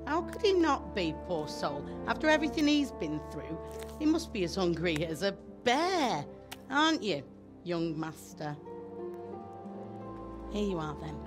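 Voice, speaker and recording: A woman's voice: on the low side, very animated, a little slow, pauses often. A woman acting a motherly, chatty castle servant in a video game cutscene, effusive and folksy. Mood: fussing, sympathetic. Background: music.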